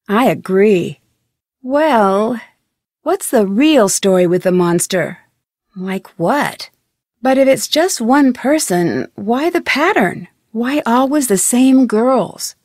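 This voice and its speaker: Video game sleuth: young woman, polite, inquisitive, lightly high voice, dry studio sound. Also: darkish tone, no room sound. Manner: inquisitive, polite, clearly enunciated. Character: curious, polite young woman amateur detective. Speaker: game protagonist. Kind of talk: scripted video game dialogue.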